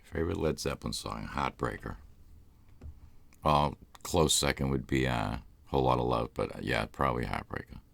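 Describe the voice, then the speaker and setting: A man's voice: very deep voice, normal speed, gravelly, fairly bright tone, no room sound. A man with a Boston accent, hosting a live stream in loose, rambling casual chat. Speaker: ASMR channel host.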